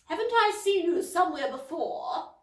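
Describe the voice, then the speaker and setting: A woman's voice: very high voice, lively intonation, normal speed, pitched above the performer's own voice. Woman doing a prim, stern older lady. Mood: indignant. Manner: prim, haughty. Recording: roomy, distant from mic.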